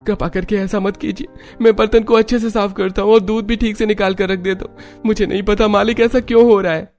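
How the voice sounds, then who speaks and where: Cartoon dad male actor, notably high-pitched, agitated, short-tempered, music underneath. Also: level tone, a little fast, a little mellow. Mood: pleading. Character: hot-tempered, troubled working father, man.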